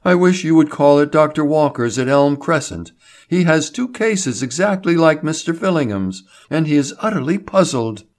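A brisk, urgent nurse voiced by a man, lifted higher than his own voice to suggest a woman. She speaks quickly to the point. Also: expressive, darkish tone, lightly acted.

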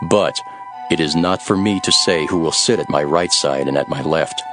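A man playing a calm, authoritative religious teacher in a solemn, declarative way, in a dramatized scripture recording. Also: extremely low pitch, normal speed, over music. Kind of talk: dramatized Bible audio.

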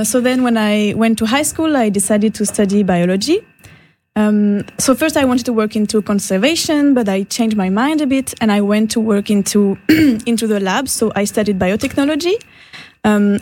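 A woman's voice: mid-range voice, darkish tone. A young woman from the French Alps with a French accent, a native French speaker, chatting casually as an interview guest. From French Alps, France. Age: young adult. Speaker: guest, marine conservation worker. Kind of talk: casual self-introduction and chat.

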